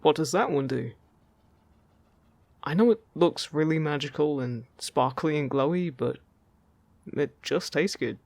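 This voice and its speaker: A man acts out a playful male fairy in a fantasy audio roleplay, speaking close and dry. His voice is lightly husky with some creak, and he talks in a casual, teasing, reassuring way. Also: a little high, unhurried, long pauses, no echo. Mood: cheerful, inviting. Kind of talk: scripted audio roleplay addressed to the listener.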